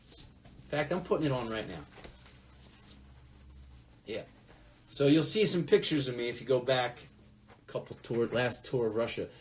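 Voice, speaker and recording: A man's voice: mid-range voice, slight background noise. A man chatting casually from home during a live fan Q&A, telling rambling anecdotes. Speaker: musician hosting a fan Q&A. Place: at home, next to a closet.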